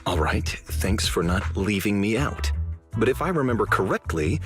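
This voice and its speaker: A man voicing a formal, dry male public security officer in an English game dub, speaking in a measured, politely pointed way. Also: fairly low pitch.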